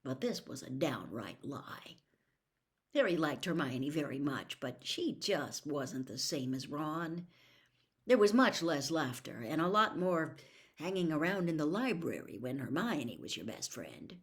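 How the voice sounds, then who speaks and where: A woman reading a children's fantasy novel aloud as an expressive storybook narrator. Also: sits low, a little slow. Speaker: audiobook reader.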